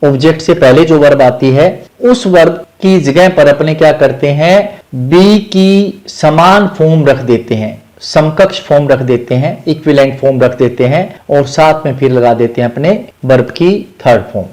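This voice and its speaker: A man's voice: a little high, normal speed, few pauses, darkish tone. A man with an Indian accent explaining grammar as a teacher, methodically repeating key points and mixing in English terms.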